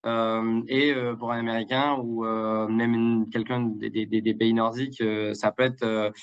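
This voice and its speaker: A man's voice: on the low side, level tone, a little slow, clean tone, dull audio. A man from Mexico with a Mexican accent mixed with Québécois, native Spanish speaker, guest in a live interview, talking hesitantly with many fillers and repeated words. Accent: Mexican with Québécois French. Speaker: guest, international development director.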